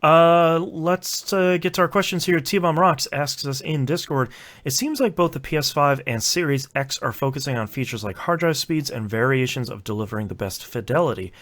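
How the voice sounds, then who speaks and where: A man chatting casually as a podcast host, informal and halting, often repeating words as he goes. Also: fairly high pitch, expressive, fairly bright tone. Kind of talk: casual chat.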